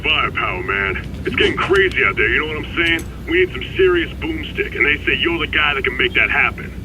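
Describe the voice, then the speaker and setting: An actor playing a jittery street thug in a video game, excitable and slangy, with a pleading edge. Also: male voice, a little high, brisk, muffled recording, background noise. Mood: agitated, wheedling. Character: jittery, fast-talking street thug buying guns. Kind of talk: video game cutscene acting.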